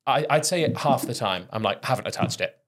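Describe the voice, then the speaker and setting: A Welsh-accented man at a mid pitch, Welsh his first language, hosting a relaxed podcast. He keeps things light, giggling and chiming in eagerly. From Wales. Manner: upbeat and giggly, quick to laugh. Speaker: podcast host. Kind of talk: casual podcast chat.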